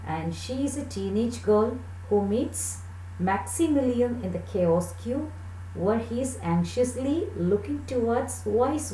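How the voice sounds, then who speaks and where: A woman in her thirties teaching an English lesson, speaking deliberately as if to a class of children. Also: a little high, mellow. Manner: deliberate, checking questions to pupils. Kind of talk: English lesson for children, reading text aloud and explaining. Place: small plain room indoors. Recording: roomy echo with slight background noise.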